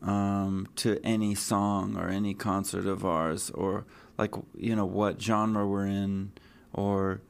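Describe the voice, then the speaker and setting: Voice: low-pitched, level tone, slow, gravelly, smooth. A band member guest in a casual interview, answering with dry jokes and laughs.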